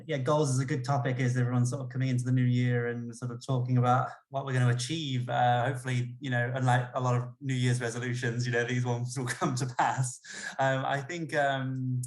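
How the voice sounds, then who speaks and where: A man speaking for an ocean campaign group, measured and full of fillers. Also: mid-range voice. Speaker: campaign spokesperson. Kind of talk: casual livestream talk.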